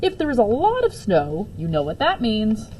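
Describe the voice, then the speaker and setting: A woman's voice: on the high side, huge pitch swings, moderate pace, warm tone, slight background noise. A woman voicing a smart, funny male school principal making announcements to pupils in a children's storybook. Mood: friendly, teasing. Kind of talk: children's storybook read-aloud.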